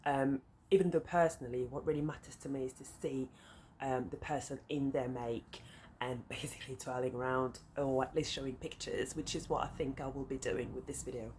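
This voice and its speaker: A woman's voice: rumbling low, steady tone, brisk, darkish tone. A woman in her thirties, French her first language, speaking English with a French accent, chatting casually and rambling with lots of "um"s and side notes as a sewing vlogger. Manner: rambling, easily sidetracked, many fillers. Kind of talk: casual chat to camera. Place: flat beside a busy road. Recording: faint traffic noise behind.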